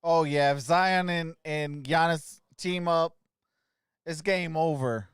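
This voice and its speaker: Unhurried higher-voiced man, offhand. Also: fairly even intonation, clear, resonant tone, no room sound, studio quality. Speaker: stream host doing his own play-by-play. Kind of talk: casual play-by-play and sports chat.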